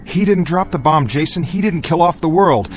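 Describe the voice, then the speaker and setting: An actor playing an earnest male survivor who pleads with a crowd and speaks gravely in a radio drama. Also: fairly high pitch, expressive, normal speed, lightly acted. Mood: pleading. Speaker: keeper of the cave's secret. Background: music score.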